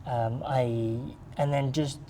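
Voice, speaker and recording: A man's voice: medium pitch, fairly even intonation, takes it slowly, mellow. A man hosting a casual, conversational interview. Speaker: interviewer, host. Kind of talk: casual chat. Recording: clear background ambient noise.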